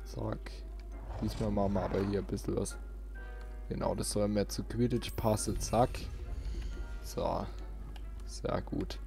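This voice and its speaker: A man's voice: lowish voice, fairly even intonation, unhurried, gravelly, pauses often. A man giving casual, informal let's play commentary, often muttering to himself. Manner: informal, muttering self-talk. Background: game music.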